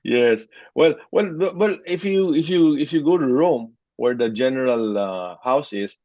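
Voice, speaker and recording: A man's voice: mid-range voice, unhurried, warm tone, dry recording, dull audio. A man, answering interview questions hesitantly, often restarting his sentences. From Philippines. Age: 60s. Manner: hesitant, with frequent restarts and short laughs. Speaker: interview guest. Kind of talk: conversational interview.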